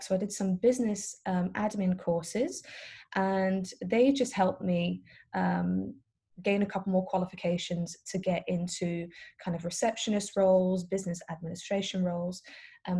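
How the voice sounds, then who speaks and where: A woman's voice: mid-range voice, warm tone, slightly rough. A woman of about thirty from the Netherlands, a native Dutch speaker, giving an informal career talk to young people in a chatty, explanatory way as a personal trainer. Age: around 30. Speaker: personal trainer and business owner.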